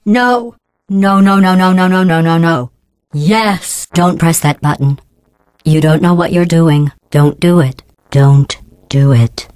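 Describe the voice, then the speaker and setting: A sinister female computer intelligence from a video game. Her voice is low, smooth and robotic, recorded very dry. She speaks with measured precision and passive-aggressive, deadpan sarcasm. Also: dry recording. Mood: alarmed, commanding. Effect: robotic synthetic voice processing. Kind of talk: scripted video game character acting.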